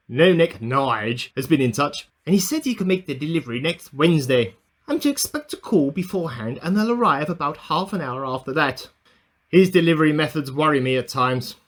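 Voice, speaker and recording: A man acts out an eccentric amateur scientist in a radio play. His voice is fairly high and very lively in pitch, with a slightly husky edge. He speaks his diary entries earnestly and with some self-importance, musing aloud as he goes.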